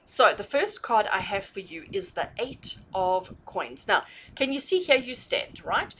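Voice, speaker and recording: A woman's voice: mid-range voice, big pitch swings, measured pace, fairly bright tone, a little hiss or hum. A woman giving a conversational tarot reading to viewers, emphatically repeating and rephrasing her points and checking in with questions. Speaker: tarot reader hosting a reading.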